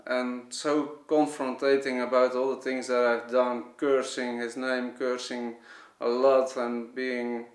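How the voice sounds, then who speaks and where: Halting Dutch-accented man in an echoey room. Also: mid-range voice, flat delivery. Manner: halting, searching for words, restarting phrases. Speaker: video maker giving a personal testimony. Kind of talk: unscripted personal testimony to camera. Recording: echoey, roomy sound.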